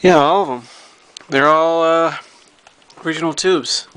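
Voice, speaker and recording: Male hobbyist vlogger, slightly high voice, unscripted and halting show-and-tell, lightly noisy homemade audio. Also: fairly even intonation, fairly bright tone. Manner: halting, thinking aloud while handling the object. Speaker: hobbyist vlogger showing a radio repair project. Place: indoors at home.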